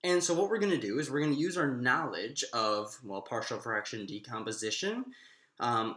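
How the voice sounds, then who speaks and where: Animated man teaching math, echoey room. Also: medium pitch. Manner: explanatory, thinking aloud with self-posed questions. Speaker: math instructor. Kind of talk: informal math lecture, working through steps aloud. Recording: echoey, roomy sound.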